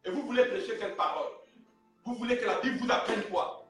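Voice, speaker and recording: A man's voice: very high-pitched, steady tone, quick pace, fairly bright tone, soft background music. A man with a Gabonese French accent, preaching a fiery sermon and urging on his congregation. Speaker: pastor. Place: church hall with a congregation. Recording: very echoey hall recorded from a distance.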